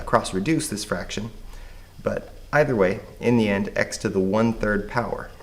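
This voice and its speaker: A man with a hoarse voice, patiently explaining a math lesson step by step. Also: fairly low pitch. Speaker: math teacher.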